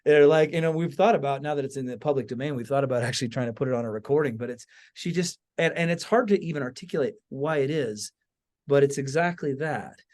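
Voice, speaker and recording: A man's voice: medium pitch, fast, a little mellow. A man hosting a podcast in a casual, conversational style, informal and enthusiastic. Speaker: podcast host.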